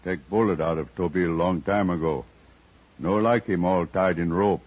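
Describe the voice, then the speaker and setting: Raspy, low-voiced stoic tracker. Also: male voice, moderate pace. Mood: grave, matter-of-fact. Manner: clipped broken English, speaks of himself by name. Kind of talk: 1950s radio western acting. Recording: muffled old radio recording.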